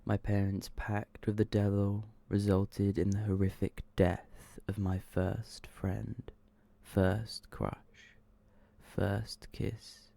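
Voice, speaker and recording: A man with a very low, creaky voice reads scary stories in an ASMR style. His delivery is slow and hushed, often sinking toward a whisper, with a dark, mellow tone. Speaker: ASMR host reading short horror stories. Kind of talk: ASMR scripted narration.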